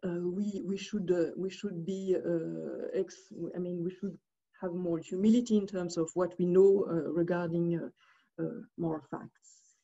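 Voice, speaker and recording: A woman's voice: fairly low pitch, fairly even intonation, a little slow, vocal fry, dark tone, lo-fi sound. A woman from Switzerland giving an academic commentary over a video call, speaking hesitantly with many fillers and restarts. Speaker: discussant.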